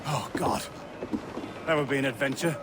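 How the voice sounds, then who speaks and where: An actor playing a mild, polite gentleman merchant who speaks hesitantly, in a dramatic video game. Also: mid-range voice, moderate pace, background noise, natural voice. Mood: wistful, hesitant. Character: mild, timid gentleman merchant, dutiful husband and father.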